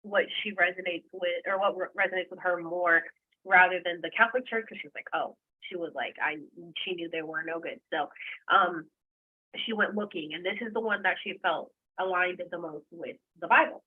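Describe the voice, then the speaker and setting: A woman from Puerto Rico, a podcast guest, telling her story in a quick, chatty way full of "like". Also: fairly low pitch, breathy edge, phone-quality sound. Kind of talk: casual storytelling chat.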